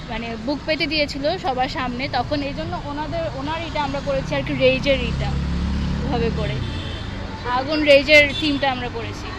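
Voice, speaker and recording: A young woman speaks in a high voice with a Bangladeshi accent. She explains things casually, like a student being interviewed, slipping in English words. The outdoor recording has plain traffic noise behind her. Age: young adult. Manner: informal, mixing in English words and filler phrases. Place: outdoors on a university campus. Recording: noisy outdoor street with traffic.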